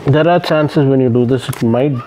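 A young man giving casual step-by-step how-to instructions, explaining things haltingly with pauses as he works. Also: mid-range voice, poor audio. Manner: halting, explanatory, often pausing mid-sentence while working. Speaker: vlogger giving a how-to demonstration. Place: indoors at home, seated on the floor. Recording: steady ambient room noise.

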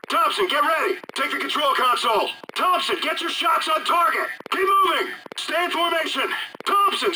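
Casting: urgent game squad leader, very high strained pitch, commanding, through heavily noisy radio. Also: male voice. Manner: clipped, commanding. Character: urgent military astronaut squad leader giving orders. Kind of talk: military shooter radio chatter. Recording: loud noisy radio transmission.

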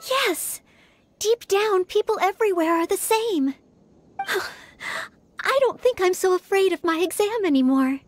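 A woman voicing an earnest, gentle young maid who hopes to be a knight, polite and humble, in an anime-style video game. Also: extremely high pitch, lively intonation, a little slow. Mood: gentle, reflective.

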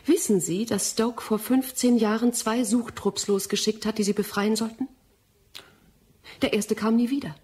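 An actress in a German radio drama playing a determined, accusing young scientist of the nineteenth century, earnest and precise. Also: female voice, fairly high pitch, fairly even intonation, moderate pace, darkish tone, breathy edge. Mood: reproachful, firm.

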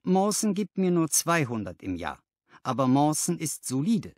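A man's voice: a little high, sing-song, moderate pace, dry recording, clean studio sound, pitched above the performer's own voice. A man voicing a modest, polite young clerk with an earnest manner in an audiobook. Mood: earnest, doubtful. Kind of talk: dramatized audiobook dialogue.

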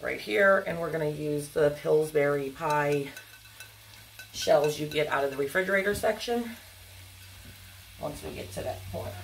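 Gravelly woman chatting over a sizzling pan. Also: low-pitched, unhurried, long pauses, background noise. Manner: chatty, rambling, frequent ums and apologies. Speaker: home cooking vlogger. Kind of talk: casual cook-along chat. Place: home kitchen, cooking at the stove. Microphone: fixed camera angled down at the stove. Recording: clear frying sizzle in a home kitchen. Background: frying sizzle and stirring.

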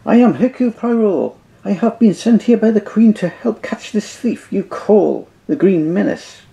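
A man's voice: mid-range voice, animated, unhurried, mellow, poor audio. A man voicing a fussy, proud male Belgian detective with a pompous, affected French-style accent, in a told story. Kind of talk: storytelling with voices.

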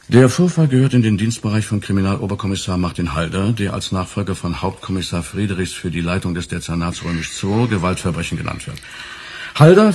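An actor playing a stern, formal senior police official in a radio crime drama, speaking in measured bureaucratic sentences with a husky voice. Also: male voice, low-pitched, a little mellow, heavily acted. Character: stern, formal senior police superior, male, pedantic and cool.

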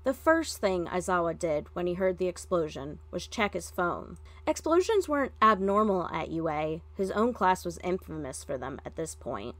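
A woman's voice: fairly low pitch, sing-song, normal speed, hardly any pauses, no echo. A woman reading a story aloud as scripted narration. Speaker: fan fiction podfic reader.

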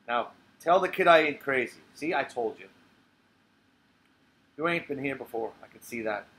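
A man acts out a streetwise old man at a bar in a stage monologue. He is weary and blunt, talking in clipped, conversational bursts with plenty of swearing, at a middling pitch. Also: expressive, normal speed, fairly bright tone, slight background noise, lightly acted, low-quality recording. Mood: blunt, bossy. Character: tired, profane, streetwise old male barfly rambling to strangers. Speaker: playwright reading his own play. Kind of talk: dramatic monologue reading.

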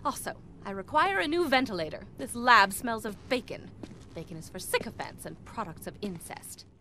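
A woman's voice: a little high, lively intonation, moderate pace. An actress playing a haughty female scientist in a video game, formal and disdainful. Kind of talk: video game character acting. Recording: game mix with faint background noise.

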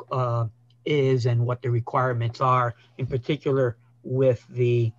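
Older man, flat voice, dull video-call sound. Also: medium pitch. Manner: halting, many uhs and you knows. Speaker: state senator on a committee. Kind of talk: hearing remarks. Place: office with a whiteboard.

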